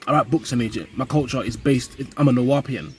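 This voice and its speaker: A man's voice: mid-range voice, a little fast, a little mellow, slight background noise. Earnest British vlogger man, London accent. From United Kingdom. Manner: earnest, repeats words while finding phrasing, rising "yeah?" tags. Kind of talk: casual talk to camera. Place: home in Kenya.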